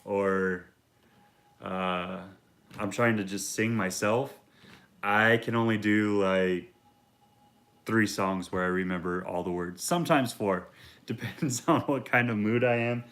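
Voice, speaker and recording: Casting note: deep-voiced man in his thirties, easygoing vlogger, rambles through channel updates. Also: takes it slowly, pauses often. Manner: relaxed and rambling, many fillers. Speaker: reptile vlogger. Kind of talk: casual talk to camera.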